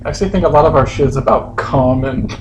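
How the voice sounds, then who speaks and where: Male podcast co-host, average pitch, offhand and crude, loose conversational delivery. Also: quick pace, background noise. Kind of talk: casual banter and short reactions.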